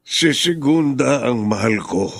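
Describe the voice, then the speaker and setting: A man's voice: mid-range voice, animated, a little slow, vocal fry, breathy edge, no echo, heavily acted. An actor playing a middle-aged father mourning the wife who left him, mournful and withdrawn, in a radio drama. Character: grieving middle-aged father, sullen and lovesick.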